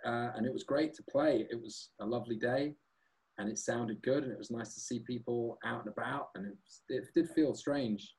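Relaxed male singer chatting as guest. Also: mid-range voice, moderate pace, low-quality recording. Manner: relaxed, rambling, self-deprecating. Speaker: guest, singer and guitarist in a band. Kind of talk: casual chat.

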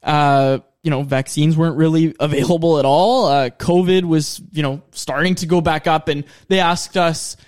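A man's voice: a little high, fairly even intonation, moderate pace, hardly any pauses, a little mellow. Young man co-hosting a casual podcast. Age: 20s. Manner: joking, plugs the show's perks. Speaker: podcast co-host. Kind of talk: casual chat.

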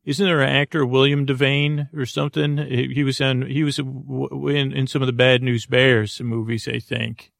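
Creaky-voiced man, rambling bedtime host. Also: mid-range voice, flat delivery, fairly bright tone, no room sound, clean studio sound. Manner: meandering, halting, trails off mid-thought. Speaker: podcast host.

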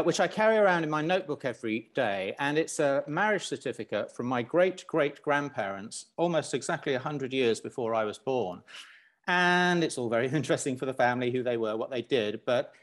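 Male podcast guest from Zambia, reflective, halting, middle pitch. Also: animated. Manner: reflective, many fillers and restarts. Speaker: guest, business founder. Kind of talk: podcast interview.

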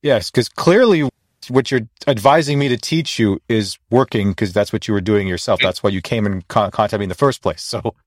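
A man's voice: fairly low pitch, a little fast, no echo, clean studio sound. A man hosting an interview, agreeing often and asking loose, rambling questions. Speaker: podcast host. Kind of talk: casual interview conversation.

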